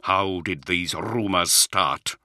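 A man voicing a weary, brooding commander of knights in an audiobook, with a rough, worn voice and a slow, grave delivery. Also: lowish voice, lively intonation, pushed and bright, no echo, clean studio sound, exaggerated voice. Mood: stern, demanding. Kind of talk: audiobook character acting.

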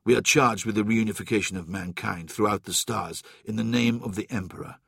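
A calm, resolute warrior captain , acted by a male audiobook reader, speaks with quiet, measured firmness. The voice is husky and creaky, recorded dry and close. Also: lowish voice, dry recording, voice pushed down, heavily acted. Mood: calm, reasoning.

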